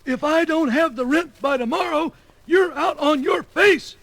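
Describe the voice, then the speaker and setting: A blustering, bullying landlord for a cartoon story record. The voice is extremely high and booming, snarling with menace, and dryly recorded. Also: male voice, a little slow, vocal fry, fairly bright tone, no room sound, heavily acted. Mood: angry, threatening.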